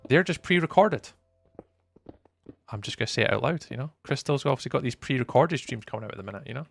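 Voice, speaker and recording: A young Scottish man from the east coast, live-streaming and chatting to viewers in a teasing, up-and-down way, from loud bursts to low mutters. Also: animated, fast, gravelly, faint music behind. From east coast of Scotland. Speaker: livestreamer.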